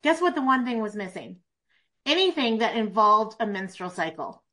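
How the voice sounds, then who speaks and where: Bright-voiced woman making her case on a call. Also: mid-range voice, animated, moderate pace. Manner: emphatic, persuasive. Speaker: panel speaker. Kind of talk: panel discussion. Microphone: video call.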